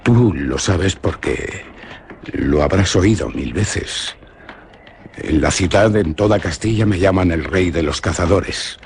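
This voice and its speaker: A man's voice: sits low, slow pace, darkish tone, slight background noise. An actor with a Spanish accent from Spain playing a proud but fearful young nobleman and hunter in a radio drama, dramatic in manner. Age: young adult. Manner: dramatic, swings from boastful to fearful.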